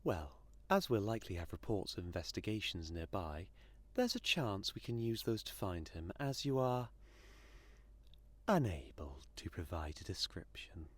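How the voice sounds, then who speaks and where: A man acting an odd, gently persistent institute worker in an audio drama, husky and measured, slightly awkward. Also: low voice, big pitch swings, no room sound. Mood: persuasive.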